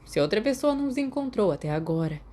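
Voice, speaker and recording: A woman's voice: medium pitch, big pitch swings, fast talker, dark tone, pitched above the performer's own voice. A woman with a Brazilian accent voicing a cocky, protective teenage boy wizard in a brash, teasing manner. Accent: Brazilian Portuguese.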